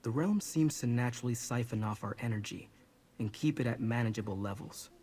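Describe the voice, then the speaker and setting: A man's voice: mid-range voice, a little fast, a little mellow, breathy edge, natural voice. An actor playing an earnest, apologetic young man burdened with guilt in a video game. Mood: resolute, regretful.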